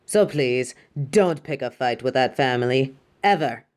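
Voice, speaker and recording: A woman's voice: very deep voice, lively intonation, unhurried, a little mellow. A woman playing a proud, exacting yet fond superhero costume designer in a scripted roleplay, speaking in a grandiose, dramatic way. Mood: proud, boastful. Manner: grandiose, dramatic, addresses listener as darling. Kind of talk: scripted roleplay audio monologue.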